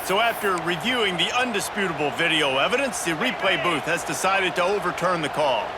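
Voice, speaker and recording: A man's voice: high-pitched, animated, fairly quick, heavy background noise. A man voicing a football video game play-by-play announcer with an American accent, calling the action in clipped, punchy phrases. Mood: focused. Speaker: play-by-play commentator. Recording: loud stadium crowd and music behind.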